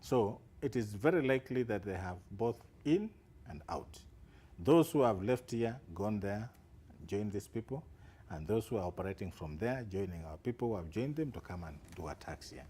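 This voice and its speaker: A man's voice: fairly low pitch, animated, normal speed, mellow, slightly rough. A man, a security analyst guest on live TV news, explaining with assurance and emphatic rises.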